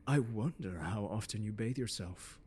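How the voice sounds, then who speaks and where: Man acting a haughty male scholar, deep husky voice, pompous, teasing, seductive, ASMR roleplay. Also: darkish tone. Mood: smug, teasing. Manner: pompous, drawling, teasing, deliberate emphasis. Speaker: audio roleplay performer. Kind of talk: ASMR roleplay, scripted lecture to the listener. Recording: long hall-like reverb.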